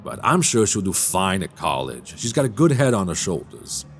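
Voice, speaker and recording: An affable bachelor writer in a radio drama, with a fairly low voice that moves around a lot. He is wry, theatrical and happy to poke fun at himself. Faint music plays underneath. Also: sing-song, moderate pace. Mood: warm, thoughtful. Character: affable, self-deprecating bachelor writer, amused by rumors.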